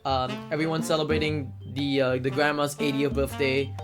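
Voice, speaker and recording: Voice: medium pitch, flat delivery, normal speed. Speedrunner from singapore, giggly n rambly, casual live commentary, music playing behind. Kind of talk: casual live game commentary with shout-outs.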